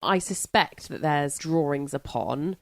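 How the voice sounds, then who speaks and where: A woman's voice: deep voice, animated, measured pace, no echo. A woman guest on a book podcast, chatting informally and with enthusiasm about what she reads. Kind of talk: casual book chat.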